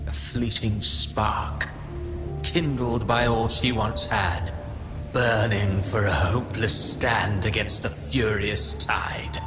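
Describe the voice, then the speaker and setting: A cold, theatrical game villain with a lowish voice and a slow, portentous delivery. He taunts with measured fatalism over clearly audible music. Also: fairly even intonation. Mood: ominous calm. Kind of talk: dramatic video game villain monologue.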